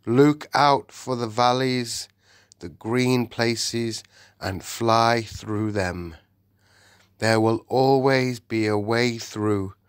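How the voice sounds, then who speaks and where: A man reading aloud and voicing a majestic male lion king with a slow, grand, solemn delivery. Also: mid-range voice, fairly even intonation, smooth, dry recording, studio quality.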